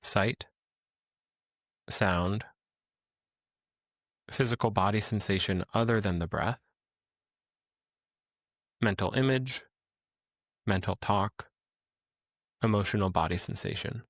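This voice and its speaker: A man leading a guided meditation as a teacher, speaking in a calm, gentle, instructional way with pauses. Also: on the low side, dry recording, studio quality.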